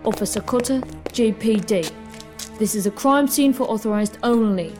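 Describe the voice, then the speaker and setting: A woman's voice: fairly high pitch, normal speed, music in the background, close to an everyday voice. A woman playing a no-nonsense police officer on guard duty in an audio drama. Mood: brisk, official. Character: brisk, no-nonsense policewoman guarding a crime scene.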